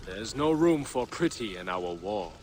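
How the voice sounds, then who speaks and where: A man's voice: on the low side, lively intonation, a little slow, slight background noise. An actor playing a weary, somber man at war in a game, speaking matter-of-factly. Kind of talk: video game cutscene acting.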